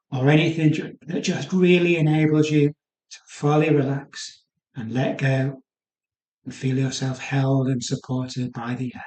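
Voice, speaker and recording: A man guiding a yoga nidra meditation in a soothing, unhurried manner, leaving pauses between short phrases. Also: medium pitch, darkish tone, roomy sound, rough recording. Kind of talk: guided meditation.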